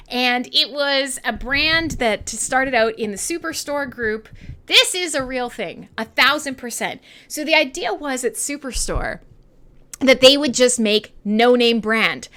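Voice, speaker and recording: A woman's voice: high-pitched, pushed and bright. A woman with a Western Canadian accent hosting an online radio show, chatting casually and irreverently with listeners, with plenty of swearing.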